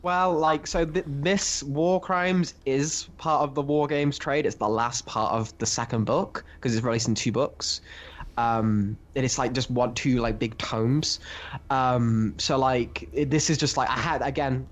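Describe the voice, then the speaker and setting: A man co-hosting a podcast, talking casually through comic books in a halting, rambling way. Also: mid-range voice. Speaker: podcast co-host reviewing comics. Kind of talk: casual chat, comic discussion.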